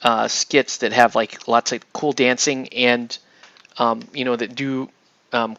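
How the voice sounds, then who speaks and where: A man with a gravelly, fry-edged voice chats loosely on a livestream as he paints miniatures. He rambles and cuts himself off. The sound is dry and a bit dull. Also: mid-range voice, dry recording. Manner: rambling, excitable, self-interrupting with laughs. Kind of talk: casual livestream chat while painting.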